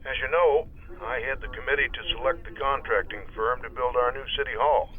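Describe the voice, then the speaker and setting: A man's voice: mid-range voice, fairly even intonation, brisk. Formal councilman on tinny phone. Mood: concerned. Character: earnest, formal city councilman reporting trouble. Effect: telephone filter. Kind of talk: radio drama acting. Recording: tinny narrow-band phone line.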